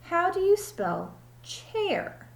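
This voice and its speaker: A woman voicing a befuddled, sweet schoolteacher from a storybook, speaking slowly and earnestly. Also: extremely high pitch, sing-song, creaky, voice pushed up, full character voice. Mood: earnest, puzzled. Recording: echoey room.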